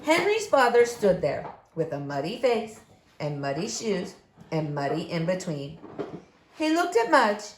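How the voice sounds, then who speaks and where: Female storybook reader for young kids: very lively pitch swings, slow deliberate phrasing, roomy sound. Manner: expressive, emphatic, deliberate phrasing. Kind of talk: animated read-aloud story narration for young children. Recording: roomy echo, faint background noise.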